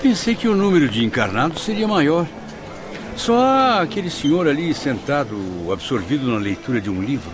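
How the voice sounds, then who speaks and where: A man's voice: a little high, big pitch swings, normal speed, music in the background. An actor playing an anxious, devout male spirit in a radio drama, theatrical and full of wonder, with a Brazilian accent. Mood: curious. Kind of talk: radio drama acting, formal literary diction.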